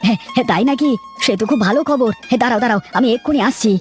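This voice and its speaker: A woman's voice: on the high side, racing, close to an everyday voice. A woman voicing a devoted, hardworking elder brother from a village in a cartoon. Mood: excited. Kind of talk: Bengali cartoon character acting. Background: faint music.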